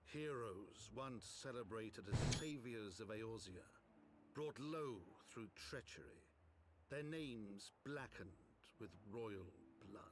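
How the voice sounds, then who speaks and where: Solemn fantasy game chronicler, grand and very measured, noisy background. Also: male voice, mid-range voice, animated. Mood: solemn, elegiac. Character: solemn male storyteller chronicling fantasy heroes. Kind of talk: scripted epic fantasy game narration.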